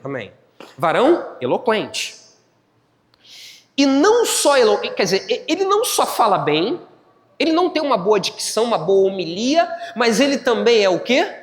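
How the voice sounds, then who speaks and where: A man's voice: very high voice, big pitch swings, normal speed. A man with a Brazilian Portuguese accent preaching a church sermon as a pastor, teaching step by step, repeating phrases and putting check-in questions to his listeners. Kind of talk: church sermon with Bible exposition. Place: church hall, live service. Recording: long hall reverb.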